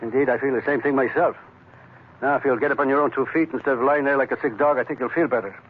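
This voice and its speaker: An actor playing a cynical, crooked island doctor with an eloquent, sardonic, mock-genteel way of talking in 1940s radio style. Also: male voice, medium pitch, fairly even intonation, quick pace, husky, natural voice. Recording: tinny, noisy vintage radio recording.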